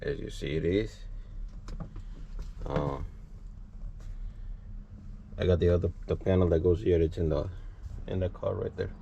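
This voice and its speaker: A man's voice: low voice, steady tone, measured pace, pauses often, dark tone, dull audio. A man talking viewers casually through a hands-on repair job as he works, in an informal vlogger style. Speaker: trucker vlogger. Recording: clear background noise, clicks.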